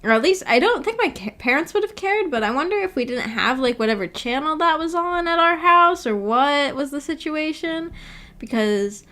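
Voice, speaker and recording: A woman's voice: high voice, lively intonation, normal speed, pushed and bright. A woman hosting a livestream, chatting casually and reading out viewer comments in a giggly, chatty way. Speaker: streamer and host.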